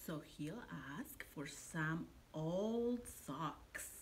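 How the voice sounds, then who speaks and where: A middle-aged woman reading a picture book aloud to young children in an expressive, deliberate storytelling manner, like a preschool teacher. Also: low voice. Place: indoor classroom. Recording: noticeable steady room background noise.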